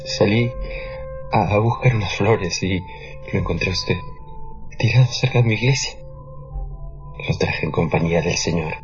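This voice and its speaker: Un actor de radionovela que interpreta a un pastor protestante temeroso y fanáticamente devoto, predicador, ferviente y repetitivo. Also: male voice, sits low, normal speed, pauses often, muffled recording, over music. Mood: weary, grave. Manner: preachy, fervent, repetitive. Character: fearful, fanatically devout Protestant pastor. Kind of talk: radio drama acting.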